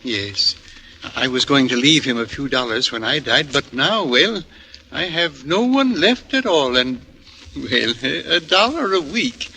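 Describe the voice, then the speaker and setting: An actor playing a gentle, lonely old lodger in a 1940s radio drama, polite, hesitant and wordy. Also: male voice, medium pitch, expressive, unhurried. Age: older. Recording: noisy old radio broadcast recording.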